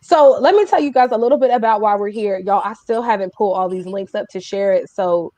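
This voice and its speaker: A woman's voice: mid-range voice, brisk, dark tone. Woman host on a livestream, chatty and teasing, joking around with guests. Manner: playful, teasing banter. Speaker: host of a music speaker series. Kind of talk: casual live-stream chat. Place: live video stream, self-shot on a phone.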